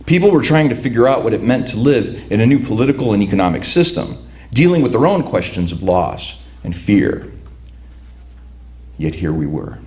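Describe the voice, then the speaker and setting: A man's voice: deep voice, a little mellow. A man reading aloud from his own prose at a literary event, speaking reflectively and often restarting his sentences. His first language is Lithuanian. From United States, raised among Lithuanian migrants. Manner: reflective, halting with repeated restarts. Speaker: author.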